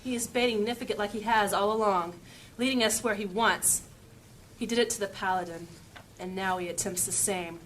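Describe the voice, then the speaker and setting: An actress playing a sharp, cautious woman adventurer in a fantasy audio drama, speaking firmly and formally as she reasons. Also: mid-range voice, fairly even intonation, normal speed, a little hiss or hum, lightly acted, rough recording. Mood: wary reasoning. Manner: firm, reasoning, formal wording.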